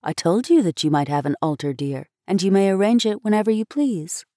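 A woman reading aloud, voicing a patient, gentle mother in a storybook. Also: medium pitch, brisk, dry recording, studio quality, lightly acted. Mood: calm. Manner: calm, reflective.

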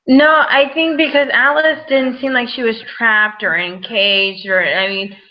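A woman's voice: on the high side, steady tone, unhurried, dull audio. A woman on a casual fan podcast, giving short, firm answers and sticking to her view.

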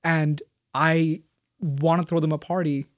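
Young man, student interviewee, higher-pitched, thoughtful with many fillers, dry close sound. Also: steady tone, a little slow, no room sound. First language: Malayalam. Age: young adult. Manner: thoughtful, soft-spoken with fillers and restarts. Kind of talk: casual interview answers.

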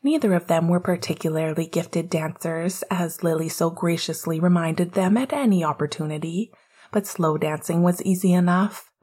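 A woman with a soft, breathy voice narrating written fiction as an audiobook-style reader. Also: on the low side, moderate pace. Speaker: podfic reader.

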